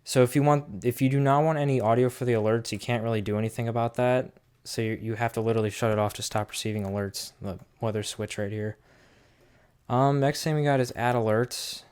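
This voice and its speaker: A man's voice: medium pitch, steady tone, brisk, a little mellow, clean tone. A man giving a casual, unscripted hands-on product review, thinking aloud as he goes in an informal way.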